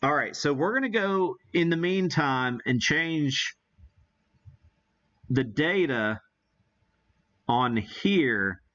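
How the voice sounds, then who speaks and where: A man's voice: on the high side, expressive, slow, long pauses, a bit bright, muffled recording. An American man hosting a casual live radio demonstration, thinking aloud in a folksy way. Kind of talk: casual live-stream chat while operating.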